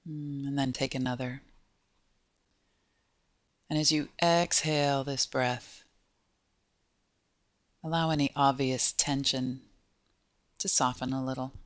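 A woman guiding a body scan meditation in a gentle, unhurried way, with pauses between short phrases. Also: a bass voice, fairly even intonation, dry recording, clean studio sound. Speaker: meditation guide.

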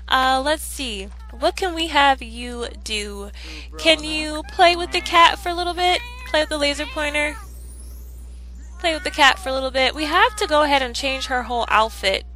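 A woman's voice: sits high, pushed and bright, poor audio. A woman chatting casually through a life-sim game playthrough, upbeat and rambling with fillers, her throat slightly ticklish from a coming cold. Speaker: let's play host. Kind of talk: casual gameplay commentary.

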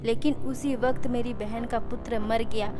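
A woman's voice: a little high, steady tone, moderate pace, music in the background, natural voice. A woman playing an earnest young mother in a cartoon, addressing a king in an emotional, formal, pleading manner. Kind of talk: Hindi cartoon dubbing.

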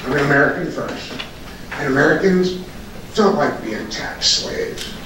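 A man's voice: fairly high pitch, lively intonation, unhurried. A retired older man giving an angry, sarcastic public comment at a county board meeting. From England. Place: large council chamber. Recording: echoey hall from far off, noisy.

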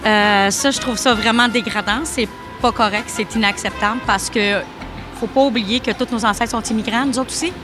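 A woman's voice: a little high, steady tone, moderate pace, music in the background. A middle-aged woman with a Québécois accent, answering a street interviewer earnestly and with indignation. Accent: Québécois French. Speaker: passerby. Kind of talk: vox pop answers. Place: indoor shopping mall. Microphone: handheld mic held by interviewer.

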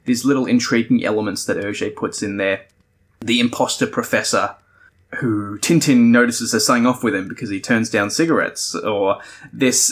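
A man's voice: medium pitch, a bit bright. A man hosting a podcast, giving a conversational, partly scripted review in a thoughtful, explanatory way. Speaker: podcast host.

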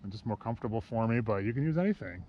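A man's voice: fairly low pitch, very fast, muffled recording. A middle-aged man vlogging casually while fishing from a kayak outdoors, rambling to the camera. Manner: relaxed, rambling, talks to camera while casting. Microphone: action camera behind his head. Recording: outdoor camera audio with steady noise.

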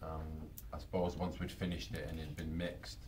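A man's voice: rumbling low, flat delivery, moderate pace, darkish tone, slight background noise. A man answering interview questions carefully and thoughtfully, with hesitant fillers, speaking into a handheld mic in a hotel conference room. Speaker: band member.

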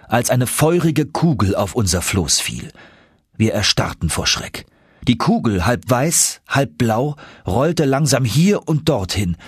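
A man narrating an audiobook story in an expressive, suspenseful, dramatic manner. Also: mid-range voice, husky, no room sound, clean studio sound.

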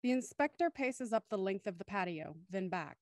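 A woman's voice: medium pitch, expressive, normal speed, no echo, clean studio sound. A woman narrating a radio play and hosting its livestream over a video call. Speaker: narrator and host. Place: indoors on a group video call.

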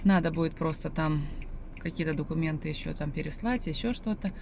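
A woman's voice: low-pitched, a little fast, vocal fry. A woman in her forties from Russia chatting casually to her viewers from her car, rambling with many fillers and restarts. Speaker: vlogger. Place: inside a parked car, and walking outdoors. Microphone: phone camera on the dashboard. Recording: loud car and street noise.

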